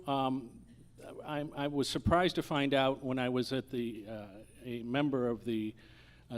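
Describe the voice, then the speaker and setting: A man candidate answering at a political forum, halting and often correcting himself. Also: mid-range voice. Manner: halting, hesitant, frequent self-corrections.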